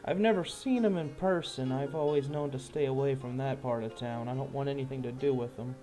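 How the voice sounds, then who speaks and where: A man voicing a wary, guarded thief and informant in a tabletop game, speaking in a hesitant, folksy, measured way. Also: medium pitch, warm tone, soft background music, close to an everyday voice, low-quality recording. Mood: wary, musing. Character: wary low-life male thief and informant, casual and guarded.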